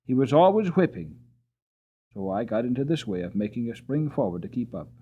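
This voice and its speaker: A man's voice: medium pitch, big pitch swings, fairly quick, husky, phone-quality sound. A man voicing a troubled, sweet-tempered mare in a storybook, explaining herself plaintively and earnestly. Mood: wistful, earnest.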